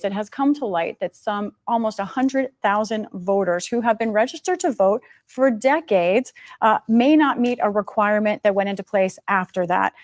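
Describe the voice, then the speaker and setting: An American woman giving a live, explanatory news report as a political correspondent. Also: mid-range voice.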